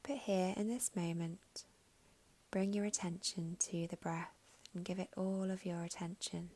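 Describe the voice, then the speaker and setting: A woman guiding a meditation in a soft, soothing, unhurried way with long pauses, her voice breathy and slipping toward a whisper at phrase ends. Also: lowish voice, fairly even intonation. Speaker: meditation guide. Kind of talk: guided meditation narration.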